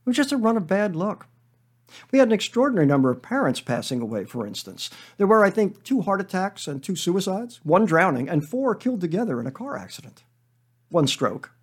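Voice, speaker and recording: Man acting out weary schoolteacher, storybook monologue, highish pitch, animated intonation, deadpan, defensive, hesitant. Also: slightly rough, full character voice. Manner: deadpan, hesitant, self-justifying digressions. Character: weary, rambling, defensive male schoolteacher named Edgar. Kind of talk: dramatic monologue, comic short story reading.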